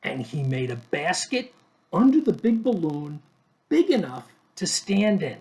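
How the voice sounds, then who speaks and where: Slow, expressive man reading a storybook. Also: fairly high pitch, rough recording. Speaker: storybook reader. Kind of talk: expressive children's story read-aloud.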